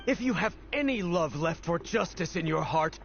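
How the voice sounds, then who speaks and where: Gravelly, commanding rebel leader. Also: male voice, fairly high pitch, lively intonation, moderate pace, a little hiss or hum. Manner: solemn, commanding. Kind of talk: scripted game dialogue. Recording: dull game audio with faint music.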